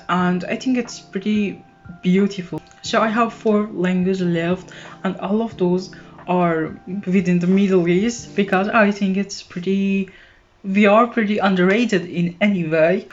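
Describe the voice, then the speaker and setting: A woman's voice: mid-range voice, steady tone, unhurried. A teenage girl whose first language is Persian, chatting casually to camera about languages, with lots of fillers and restarts. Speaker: language vlogger. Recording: dull lo-fi sound with soft guitar music.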